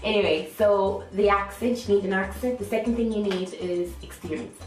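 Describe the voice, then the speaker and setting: A woman's voice: medium pitch, steady tone, normal speed, a little mellow. A woman with a light Irish accent, an online English teacher giving a chatty, upbeat how-to talk that often restarts its sentences. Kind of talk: casual how-to vlog. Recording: echoey room, faint music.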